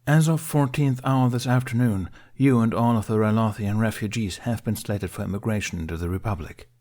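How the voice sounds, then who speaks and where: A man reading as a courteous veteran Jedi who speaks with formal, measured authority. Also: lowish voice, dark tone, close to an everyday voice. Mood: formal, commanding. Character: composed, courteous male Jedi veteran taking command.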